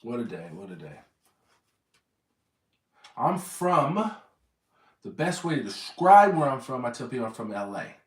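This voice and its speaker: A man's voice: medium pitch, big pitch swings, measured pace, long pauses, far from the mic. Rambling American man in an echoey room. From Southern California, USA. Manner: meandering, corrects himself often. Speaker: vlogger. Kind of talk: rambling casual chat.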